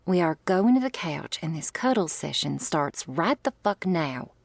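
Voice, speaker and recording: A woman acts out a doting female vampire in an intimate ASMR roleplay. Her voice sits low with a creaky edge, and she takes her time. She is wryly playful and old-fashioned, recorded close and dry. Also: sing-song, measured pace, no room sound, exaggerated voice. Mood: tender, protective. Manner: breathy asides, pet names, quaint turns of phrase.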